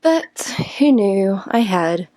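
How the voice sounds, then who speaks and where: A woman's voice: medium pitch, very animated, slow pace, warm tone. A woman from England with an English accent, cheerfully narrating a sailing vlog and laughing often.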